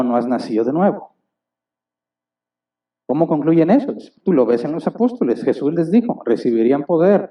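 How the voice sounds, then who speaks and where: A man preaching a sermon as a pastor, asking the congregation questions and acting out little exchanges. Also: a little high. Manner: asks the congregation rhetorical questions; acts out little dialogues. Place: church hall. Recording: distant, dull church recording.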